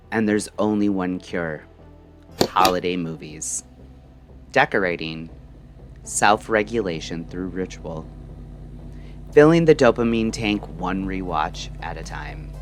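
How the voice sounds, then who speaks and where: A man delivering a scripted, introspective late-night radio monologue in measured, deliberate short phrases. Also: low-pitched. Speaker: narrator and host of a late-night radio style audio show. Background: music.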